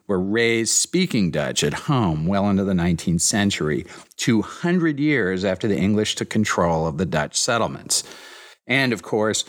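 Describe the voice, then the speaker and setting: A man's voice: deep voice, fairly bright tone. A man hosting a history podcast, reading a scripted narration with dry, wry asides.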